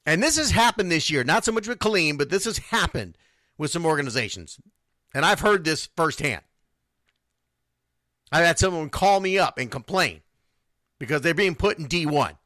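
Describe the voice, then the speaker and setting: A man's voice: a little high, lively intonation, normal speed, long pauses, pushed and bright, no echo. A man hosting an unscripted sports talk show, answering listeners in a blunt, emphatic way and repeating phrases for stress. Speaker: sports radio show host.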